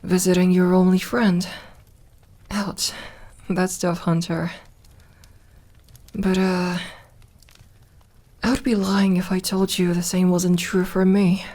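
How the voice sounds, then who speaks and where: Slow, mellow lonely vampire woman, acted by a woman. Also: lowish voice, flat delivery. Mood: shy, awkward. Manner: hesitant, soft-spoken, slow with pauses. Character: lonely, lovelorn female vampire, gentle and wry. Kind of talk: intimate ASMR audio roleplay.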